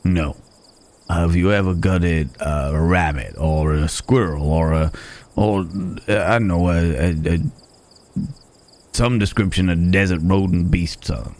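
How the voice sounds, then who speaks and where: Casting: male actor as gruff, caring cowboy stranger for Western ASMR roleplay; deep voice, slow folksy ramble, night crickets behind. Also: darkish tone. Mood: easygoing, reassuring. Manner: folksy, rambling, murmured asides to horse and companion. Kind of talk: ASMR Wild West roleplay.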